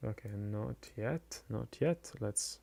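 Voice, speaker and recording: Man, quiet voice, very slow, hesitant, fillers and restarts, live-stream commentator. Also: fairly low pitch, gravelly. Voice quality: quiet, soft-spoken. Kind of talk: casual live-stream chat.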